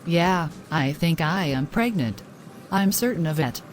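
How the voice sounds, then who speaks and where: A woman acting an earnest, lowish-voiced troubled young girlfriend. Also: expressive, a little slow, a little hiss or hum. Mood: anxious, distressed. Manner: earnest, formal phrasing. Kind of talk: scripted moral-story dialogue.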